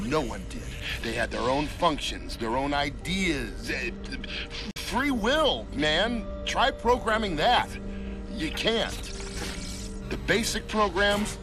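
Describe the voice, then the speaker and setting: A laid-back creator, reflective, lively pitch, slightly high, score behind. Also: male voice, distant mic. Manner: laid-back, calls people "man". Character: weary male creator musing on his digital world. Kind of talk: video game cutscene narration.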